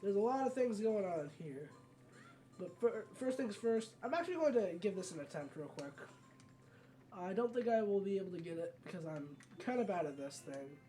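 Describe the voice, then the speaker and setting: Rambling gamer voice over loud game music. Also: medium pitch, lively intonation, normal speed. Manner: rambling, self-correcting. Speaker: gaming video host. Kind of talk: casual let's play commentary. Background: video game music.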